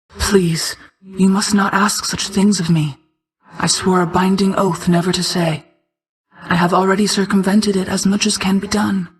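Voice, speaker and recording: An actress playing a stern yet caring motherly goddess of night in a video game, speaking in formal, measured, solemn lines. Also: on the low side, a little mellow, natural voice, low-quality recording. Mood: solemn, firm. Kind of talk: scripted video game character dialogue, formal archaic speech.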